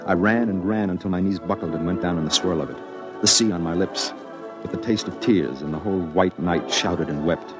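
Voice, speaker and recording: A man's voice: deep voice, brisk, lightly acted. A man acting an earnest, lonely reporter in an old-time radio drama, with a solemn, literary delivery. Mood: somber, wistful. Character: earnest lonely male reporter narrating and pleading. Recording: vintage radio drama with music behind.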